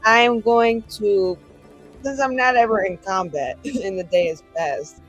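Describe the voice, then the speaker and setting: A woman's voice: mid-range voice, measured pace, breathy edge, muffled recording, faint music behind. A woman playing a tabletop roleplaying game, improvising a casual message in a chatty, informal, rambling way.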